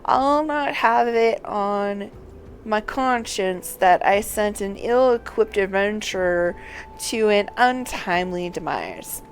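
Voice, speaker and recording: A woman reading out an earnest male sellsword recruiter from a fantasy game, with formal, measured, cautioning delivery. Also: medium pitch, animated, slight background noise.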